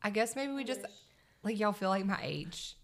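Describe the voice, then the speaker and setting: A woman's voice: medium pitch, expressive, moderate pace. A woman hosting a casual podcast chat, gushing and slangy in the way she talks. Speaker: podcast host.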